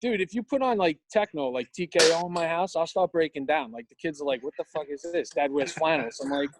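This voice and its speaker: A man's voice: fairly high pitch, fairly quick. Rambling New York man in his forties. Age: 40s. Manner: rambling, lots of fillers, swears freely. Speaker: guest, tattoo shop owner. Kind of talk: casual podcast chat.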